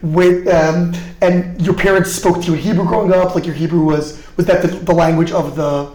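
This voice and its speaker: A man hosting a podcast interview in a chatty, curious, casual way, quick to react to what he hears. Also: fairly high pitch, steady tone, darkish tone, reverberant, low-quality recording. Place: indoor office in a parliament building.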